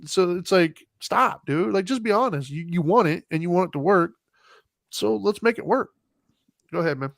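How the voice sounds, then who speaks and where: A man's voice: high voice, lively intonation, normal speed, creaky, no echo, studio quality. A man with an American accent hosting a podcast in casual, opinionated chat, informal and plain-spoken. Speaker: podcast host.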